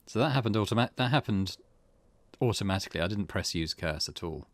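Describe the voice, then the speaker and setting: A man's voice: lowish voice, expressive, fast. A man doing let's play narration, reading game text aloud and muttering his own reactions between passages. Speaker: gaming video host.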